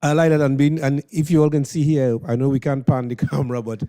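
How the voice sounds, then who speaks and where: A Trinidadian man hosts a radio interview in a conversational, encouraging style. His voice sits at a middle pitch and has a soft, mellow tone. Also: flat delivery, fast talker. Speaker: radio host.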